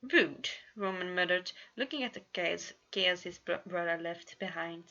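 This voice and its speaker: A woman narrating a scripted story as an amateur reader, expressive, with small stumbles. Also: lowish voice, normal speed, dull audio. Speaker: amateur fan fiction reader.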